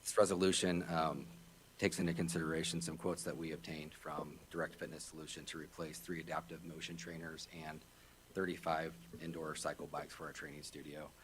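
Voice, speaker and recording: A man with a deep voice, a parks staff member presenting an item at a public meeting in a plainspoken way with many ums and uhs, through a meeting microphone. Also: level tone, fairly quick. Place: meeting room. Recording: echoey meeting room audio with hum.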